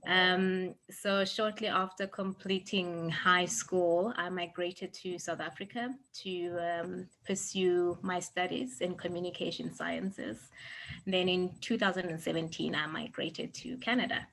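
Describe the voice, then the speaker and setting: A young woman from Zimbabwe, a guest telling her story in a relaxed, animated way and laughing easily. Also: lowish voice, a little slow, vocal fry, low-quality recording. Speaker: interview guest. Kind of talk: casual storytelling.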